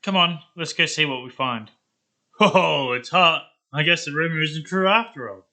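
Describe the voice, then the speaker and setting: A man playing an excited, gullible, curious adventurer in an animated character script. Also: on the high side, normal speed, voice pushed up.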